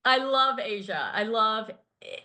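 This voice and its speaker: A woman's voice: a little high, animated, measured pace, sharp tone. Chatty woman guest, candid and easygoing. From grew up in Thailand and England. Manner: chatty, candid, laughs easily, many fillers. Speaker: guest, finance company founder. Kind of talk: casual interview chat.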